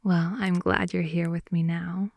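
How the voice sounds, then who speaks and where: Soft, creaky-voiced woman, intimate girlfriend ASMR. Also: on the low side, flat delivery, a little slow, dry recording. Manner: soft, often whispery, sighing, teasing. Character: playful, affectionate girlfriend rambling sleepily in bed. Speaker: ASMR roleplay performer playing a girlfriend. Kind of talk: improvised intimate ASMR roleplay. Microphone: close microphone, dry intimate sound.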